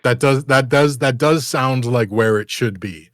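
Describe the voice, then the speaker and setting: A man running a tabletop game as dungeon master, halting and apologetic, laughing often. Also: medium pitch, dry recording, clean studio sound.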